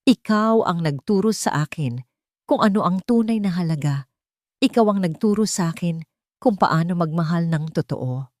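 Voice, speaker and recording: A wealthy young romantic hero , acted out by a woman narrator speaks with authority and sincerity. The tone is mellow and lowish, recorded dry and close, in the manner of an audiobook drama. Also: lively intonation, moderate pace, no room sound, close to an everyday voice. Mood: tender, sincere. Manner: authoritative when angry, soft when tender. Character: commanding, sincere wealthy young man in love.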